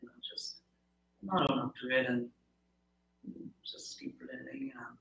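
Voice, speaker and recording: Bright-voiced Indian-accented man, echoey room. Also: mid-range voice, a little slow, gravelly, slight background noise. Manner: reflective, hesitant phrasing with many "you know". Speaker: podcast guest, product manager. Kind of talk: casual interview, personal account.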